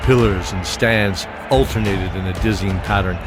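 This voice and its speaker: Male narrator, lowish voice, measured dramatic storytelling, loud music underneath. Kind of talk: scripted narration of an audio drama.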